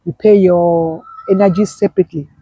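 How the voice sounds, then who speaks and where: A woman's voice: fairly low pitch, steady tone, fairly quick, warm tone, slightly rough, no echo. A woman, a business owner, speaking as an interview guest and emphatically repeating her key points. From Nigeria. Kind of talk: conversational interview.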